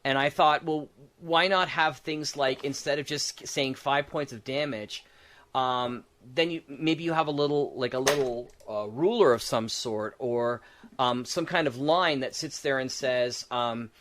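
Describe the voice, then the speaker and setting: A man's voice: medium pitch, unhurried, fairly bright tone. A man chatting casually about game design as a podcast co-host, rambling and thinking aloud as he goes.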